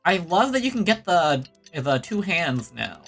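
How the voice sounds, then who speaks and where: A woman's voice: fairly low pitch, sing-song, unhurried, husky, faint music behind. A woman streaming a game, giving casual, reactive commentary as the story unfolds.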